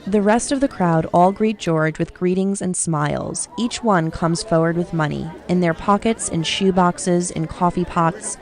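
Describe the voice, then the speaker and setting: A woman's voice: fairly low pitch, a little slow, hardly any pauses, a little mellow. A woman narrating a scripted radio drama, reading out the action as narrator. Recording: music and crowd noise behind. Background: music and crowd bustle.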